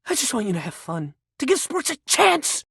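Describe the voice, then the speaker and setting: A man's voice: extremely high pitch, wildly swooping pitch, moderate pace, creaky, a bit bright, husky, no room sound, studio quality, exaggerated voice. An actor playing an upbeat, friendly but sensitive young male sports jock in a video game, talking in casual, emphatic bro speak. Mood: hurt, pleading. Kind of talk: video game character dialogue.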